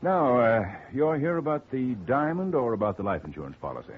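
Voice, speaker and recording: A man playing a smooth middle-aged lawyer in a 1950 radio drama, genial and chatty, quietly scheming. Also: medium pitch, very animated, a little fast, lightly acted. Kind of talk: 1940s radio acting. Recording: tinny faint-hiss old radio recording.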